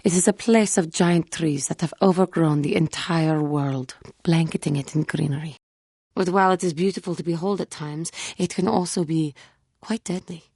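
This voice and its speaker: A proud alien warrior woman from a video game. The voice is low and husky, recorded close and dry. She speaks in formal, measured phrases with a wounded, solemn edge. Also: mellow, no echo, heavily acted. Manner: formal, measured, stilted earnest diction. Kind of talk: scripted game character dialogue.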